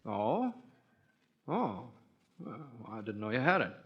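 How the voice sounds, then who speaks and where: A man's voice: mid-range voice, big pitch swings, takes it slowly, husky, heavily acted. An actor in his thirties playing a wry, hesitant young writer in a 1940s radio play. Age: 30s. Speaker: lead actor. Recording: dull 1940s radio broadcast.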